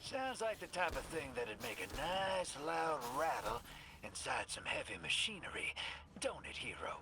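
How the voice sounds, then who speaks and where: A man's voice: fairly high pitch, big pitch swings, normal speed. A man playing a cocky, wry scout in a video game, with a folksy, wisecracking way of talking. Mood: sly. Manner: wisecracking, folksy, laid-back. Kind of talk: scripted video game dialogue. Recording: clear background noise of game ambience.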